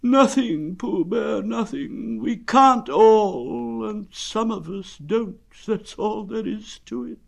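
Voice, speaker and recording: A man voicing a gloomy, self-pitying old grey donkey in a children's storybook, with a slow, mournful, sarcastic delivery. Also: sits high, animated, creaky, pitched above the performer's own voice, exaggerated voice. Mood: dismal resignation.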